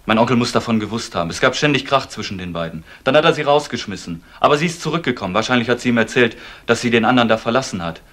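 A man's voice: medium pitch, animated, racing, hardly any pauses, a bit bright, natural voice. An actor playing an earnest, insistent young man obsessed with his missing uncle in a German crime radio play.

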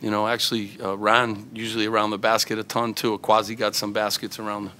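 A man's voice: fairly low pitch, little pitch movement, fast, pushed and bright. A middle-aged man, a head basketball coach, answering reporters at a postgame press conference, earnest and full of fillers and restarts, into table microphones.

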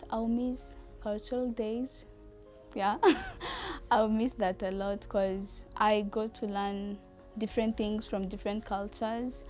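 A woman's voice: mid-range voice, steady tone, slow, a little mellow, faint music behind. Reflective young woman. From Rwanda. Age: young adult. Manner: reflective, with frequent hesitation fillers. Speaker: coding student. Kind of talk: interview testimonial. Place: outdoor terrace. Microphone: lapel mic.

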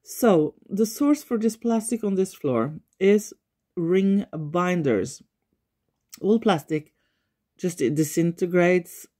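A Norwegian woman talking unscripted in her living room, pausing often as she searches for words. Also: lowish voice, dark tone. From Norway. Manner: thoughtful, searches for words, frequent pauses. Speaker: environmental vlogger. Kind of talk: unscripted talk to camera.

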